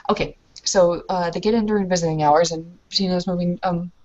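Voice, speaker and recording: Low-voiced woman narrating a roleplaying game. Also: steady tone, fairly quick, darkish tone, highs cut off. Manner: hesitant, informal. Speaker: tabletop roleplay player. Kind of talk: casual improvised game narration.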